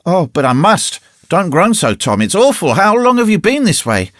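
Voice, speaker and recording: A man's voice: high-pitched, big pitch swings, normal speed, no room sound, clean studio sound, voice pushed up. A man voicing a worried young boy who pleads and calls out urgently. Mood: anxious.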